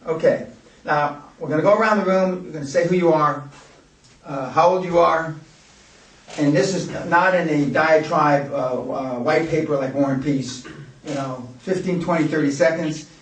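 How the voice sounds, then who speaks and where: A man's voice: a little high, moderate pace. A man hosting a seminar, talking brashly and rambling, with profanity. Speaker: seminar host. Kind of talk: blunt seminar talk. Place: seminar room indoors. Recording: echoey room from a distance.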